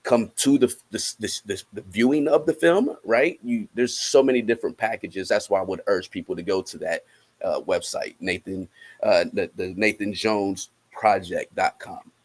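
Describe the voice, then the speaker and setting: Low-voiced man answering interview questions. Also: normal speed, breathy edge. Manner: repeats words and says "right?" as a tag. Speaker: guest musician promoting a film project.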